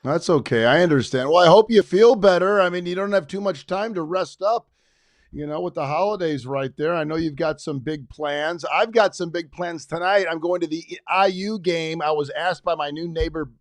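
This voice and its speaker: A man's voice: on the high side, moderate pace, no room sound. Chatty middle-aged man, dry close sound. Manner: rambling, chatty with many restarts. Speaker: podcast co-host. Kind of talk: casual chat. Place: his home.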